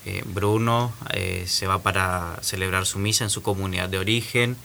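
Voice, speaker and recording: A man's voice: low voice, fairly even intonation, moderate pace, gravelly, pushed and bright, a little hiss or hum. A man, a newly ordained priest from Argentina, laying out details in an orderly, informative way during a radio interview.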